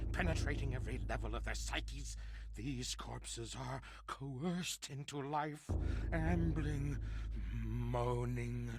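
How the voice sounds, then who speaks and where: A man's voice: medium pitch, background noise. An actor voicing a tormented, dread-filled narrator in a theatrical gothic horror game, lingering on his words. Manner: melodramatic, lingering on words, swinging between whispers and cries.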